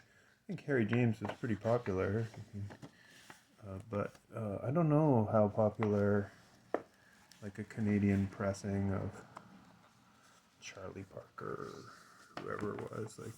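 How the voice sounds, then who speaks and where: A man's voice: fairly low pitch, background noise. A man giving a casual, unscripted show-and-tell as a collector, hesitant and rambling, often trailing off mid-thought. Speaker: record collector and seller showing items.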